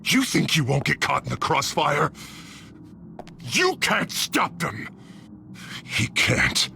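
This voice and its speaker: A man's voice: on the high side, big pitch swings, takes it slowly, gravelly, sharp tone, a little hiss or hum, exaggerated voice. An actor playing an unstable man with a split personality in a video game, swinging between hurt pleading and menacing snarls. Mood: bitter, mocking. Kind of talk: dramatic video game voice acting.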